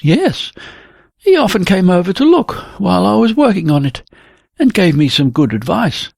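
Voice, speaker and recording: A narrator voicing a gentle, earnest young woman painter who soothes her lover. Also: medium pitch, big pitch swings, normal speed, vocal fry, breathy edge, no echo, studio quality, voice pushed up, heavily acted.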